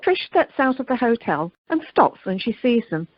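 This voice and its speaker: A woman's voice: on the high side, sing-song, moderate pace, no room sound, dull audio. A woman narrating a radio play from a script.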